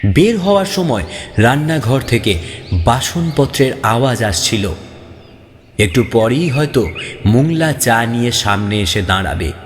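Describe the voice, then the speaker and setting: A man's voice: mid-range voice, big pitch swings, unhurried, a little hiss or hum. Man narrating suspensefully in long reverb. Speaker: audio story narrator. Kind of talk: dramatic scripted narration of a ghost story. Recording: long reverb, background music. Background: music.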